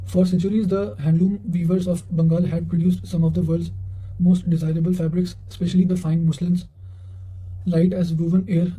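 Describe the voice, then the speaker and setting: A man with a high-pitched voice and a very dark, soft-edged tone reads study material aloud. His delivery is careful and flat in intonation, with small stumbles over words as he goes. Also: normal speed, low-quality recording. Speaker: reader of history study notes. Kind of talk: reading quotations aloud for exam revision.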